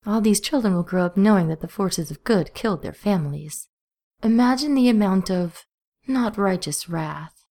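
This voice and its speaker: A woman voicing a sardonic male demon, talking in a dry, quick, wry way. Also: mid-range voice, expressive, dark tone, lightly acted. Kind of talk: multivoice podfic reading.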